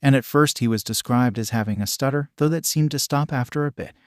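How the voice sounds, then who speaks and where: A man's voice: mid-range voice, brisk, no echo, clean studio sound, natural voice. A man reading the part of a weary police detective giving a formal briefing in an audio story. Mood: explanatory.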